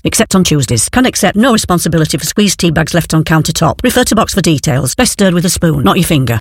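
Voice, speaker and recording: Deadpan man reading disclaimers. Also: on the high side, slightly rough, no room sound. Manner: dry deadpan disclaimer delivery. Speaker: advert voice-over. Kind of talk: scripted comic advert read.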